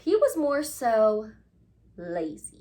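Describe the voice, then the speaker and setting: A woman's voice: fairly high pitch, very animated, takes it slowly, warm tone. A woman reading a storybook expressively and talking directly to children like a teacher, drawing out key words for emphasis. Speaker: children's read-aloud host, teacher-like.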